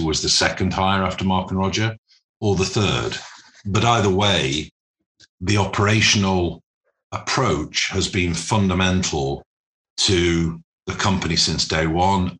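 A man speaking as a business interview guest, explaining things formally and deliberately, with measured phrasing and pauses. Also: deep voice, pushed and bright. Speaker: interview guest, private equity managing director.

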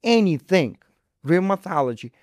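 A man's voice: high voice, sing-song, moderate pace, darkish tone, no echo, studio quality. A middle-aged man from Ukraine with a Ukrainian accent, explaining things emphatically in a conversational interview as a guest surgeon.

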